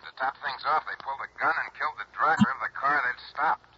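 Brisk, sharp, husky insurance man. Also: mid-range voice. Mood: urgent, serious. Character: insistent insurance company man calling an investigator. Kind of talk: old-time radio drama acting. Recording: dull old radio recording, telephone sound.

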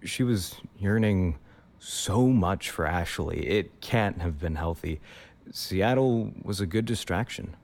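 A man playing a young person looking back on a road trip in halting, reflective audio drama narration. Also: lowish voice, darkish tone.

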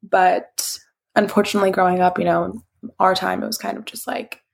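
A woman's voice: mid-range voice, fairly even intonation, moderate pace, creaky, slightly rough. A woman guest in a casual interview, talking reflectively and candidly about her content, leaning on "like" a lot. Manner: reflective and candid, heavy use of "like". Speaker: interview guest, social media creator.

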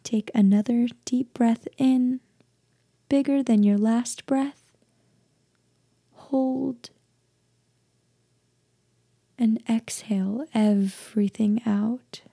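Female meditation guide, soft half-whisper, very warm and relaxed tone, gentle slow delivery, close dry sound. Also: fairly high pitch, creaky, husky, dry recording. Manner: gentle, hushed, deliberate with long pauses.

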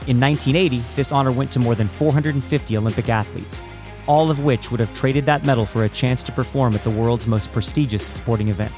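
A middle-aged man hosting a podcast, moving between a scripted introduction and casual interview talk. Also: mid-range voice. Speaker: podcast host.

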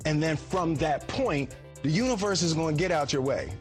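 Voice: medium pitch, lively intonation, normal speed, a little mellow. A motivational speech clip in an emphatic, preacher-like cadence. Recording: clip with music underneath.

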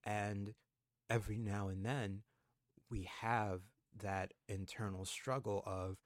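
A man's voice: lowish voice, little pitch movement, takes it slowly, no room sound, clean studio sound. A man in his twenties co-hosting a podcast, reflecting aloud in a casual, thoughtful way with frequent fillers. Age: 20s. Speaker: podcast co-host. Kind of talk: casual conversation.

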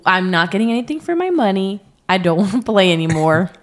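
A woman's voice: medium pitch, big pitch swings, fairly quick. A young woman co-hosting a casual podcast, teasing her friend and asking playful questions. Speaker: podcast co-host. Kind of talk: casual chat.

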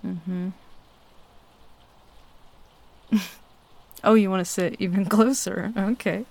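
A woman playing a shy, smitten young tomboy roommate in an intimate ASMR roleplay, halting and flustered as she talks. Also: mid-range voice, steady tone, gravelly, darkish tone. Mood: flustered, teasing. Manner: halting, hesitant, flustered with nervous chuckles. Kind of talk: ASMR roleplay, intimate one-sided dialogue. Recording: faint rain noise behind close voice. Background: rain falling.

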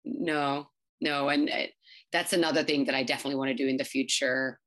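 A woman in her thirties, English not her first language, giving quick, chatty interview answers full of fillers and restarts. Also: extremely low pitch, fairly even intonation, fairly bright tone. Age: 30s. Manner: fast, filler-heavy, many restarts. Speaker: startup founder.

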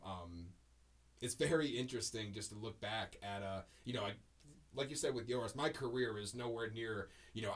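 A man's voice: mid-range voice, fairly bright tone. Rambling male podcast host. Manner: rambling, heavy on fillers and restarts, emphatic storytelling. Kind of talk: casual podcast chat.

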